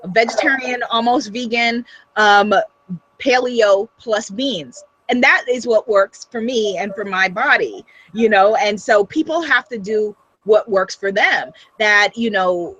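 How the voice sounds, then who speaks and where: A woman's voice: on the high side, unhurried, bright, forceful tone, poor audio. A woman with a Guyanese accent talking earnestly in a casual interview, full of restarts and "you know.". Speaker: guest.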